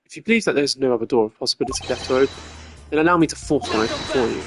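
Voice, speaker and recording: A man voicing a composed, relentless video game prosecutor who speaks in a formal, measured, confident way. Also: mid-range voice, music in the background, close to an everyday voice. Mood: assured.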